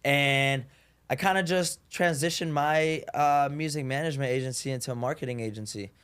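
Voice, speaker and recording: Man from Puerto Rico, young adult, relaxed casual talk, smooth resonant voice, mid pitch. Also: normal speed. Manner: relaxed, friendly, frequent "you know". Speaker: podcast guest, marketing founder. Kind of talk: casual interview chat.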